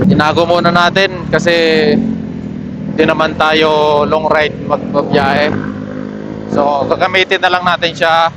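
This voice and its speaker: A man chatting casually as a motorcycle vlogger, giving unscripted running commentary into a helmet intercom mic. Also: fairly high pitch. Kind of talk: casual vlog chat while riding. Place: outdoors on a motorcycle in city streets. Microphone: helmet intercom microphone. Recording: helmet mic with clear traffic noise. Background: vehicles and outdoor street noise.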